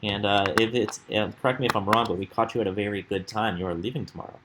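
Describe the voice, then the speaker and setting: A man's voice: lowish voice, steady tone, fast, noisy background. A man hosting a live stream over a video call, bantering and joking with his guest. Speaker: stream host. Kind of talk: casual live-stream chat.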